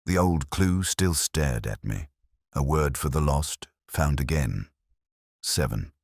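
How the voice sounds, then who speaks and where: Man playing a very deep, brooding police inspector. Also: lively intonation, gravelly, a little mellow, no room sound, clean studio sound, heavily acted. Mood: weary, reflective. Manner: measured, literary, brooding delivery. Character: weary, melancholy, reflective middle-aged male police inspector. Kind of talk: first-person scripted detective drama narration.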